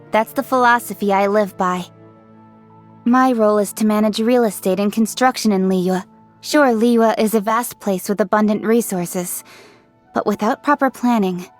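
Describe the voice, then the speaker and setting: A woman voicing a brisk, self-assured young official. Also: medium pitch, lightly acted. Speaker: game character voice lines. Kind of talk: game voice-over.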